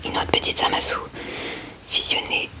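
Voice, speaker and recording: Whispering woman doing soft ASMR. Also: moderate pace. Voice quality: breathy, unvoiced whisper. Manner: gentle, informal, murmuring short remarks to viewers. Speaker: ASMR video creator. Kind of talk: whispered ASMR card-opening commentary. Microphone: close ASMR microphone.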